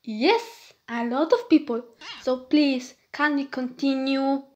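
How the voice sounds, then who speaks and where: Give me a woman as a high-pitched, slow-talking storyteller, patient but a little exasperated. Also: darkish tone, muffled recording.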